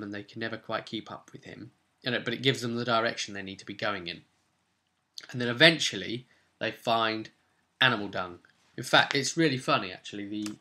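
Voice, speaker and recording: A man's voice: medium pitch, sharp tone. A man speaking as a game master, giving a casual, rambling, unscripted recap of a tabletop role-playing session with enthusiastic asides.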